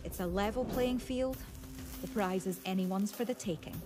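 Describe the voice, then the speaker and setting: A woman's voice: medium pitch, normal speed, music in the background, lightly acted. A woman acting as a motorsport broadcaster, narrating in a dramatic sports-television style. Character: motorsport broadcaster setting up a final.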